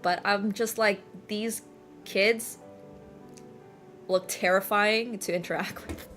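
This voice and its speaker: Woman in her 20s, Finnish native, easygoing streamer chat, mid-pitched voice, faint music underneath. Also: steady tone, takes it slowly, fairly bright tone. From Finland. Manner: chatty, laughs often, lots of "like" and "bro". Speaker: livestreamer.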